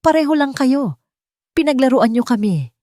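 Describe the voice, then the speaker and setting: A woman voicing a hurt young heroine who shouts through tears, pitched higher than her narration. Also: animated, moderate pace, no room sound, studio quality. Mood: anguished.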